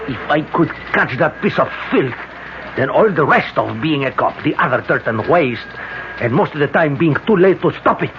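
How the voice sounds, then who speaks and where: Driven cop, noisy radio recording. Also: male voice, a little high, lively intonation, moderate pace, highs cut off. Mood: bitter, determined. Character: driven, bitter policeman hungry for justice. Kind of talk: old-time radio drama acting.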